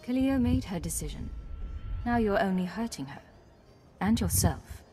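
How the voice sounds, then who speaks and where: A woman playing a cool-headed secret agent in a video game, calm, articulate and matter-of-fact. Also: medium pitch, normal speed, faint music behind, close to an everyday voice. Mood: firm, reasoning. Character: composed, cool-headed female secret agent, firm and diplomatic.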